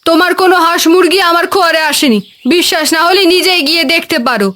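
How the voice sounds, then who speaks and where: A spiteful mocking village sister-in-law. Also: female voice, very high-pitched, quick pace, pushed and bright. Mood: snappish. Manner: snappish, mocking.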